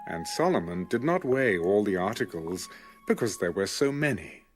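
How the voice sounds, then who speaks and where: A man reading scripture as scripted audiobook narration, measured and clearly enunciated. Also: on the low side, sing-song, faint music behind. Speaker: Bible narrator.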